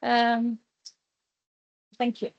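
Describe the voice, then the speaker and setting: A woman's voice: on the high side, extremely slow, no room sound, highs cut off. A woman researcher wrapping up a seminar presentation about her study, explaining plainly and slipping into short quoted voices. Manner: explanatory, quoting others in lively voices. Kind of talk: academic seminar talk.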